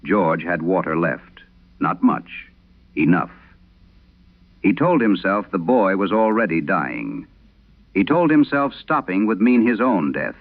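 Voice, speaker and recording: Guy doing an old priest exorcist in a horror radio play, grave and haunted, gravelly, swings up and down a lot. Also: low voice, narrow, tinny audio, heavily acted. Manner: grave, measured, solemn. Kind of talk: dramatic first-person horror radio storytelling.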